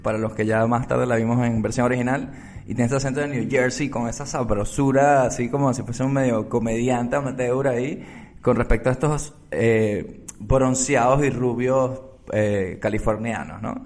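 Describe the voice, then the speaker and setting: Joking podcast host. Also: male voice, medium pitch, fairly even intonation, normal speed, a little mellow. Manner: joking, show-host asides to listeners. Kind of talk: casual film chat.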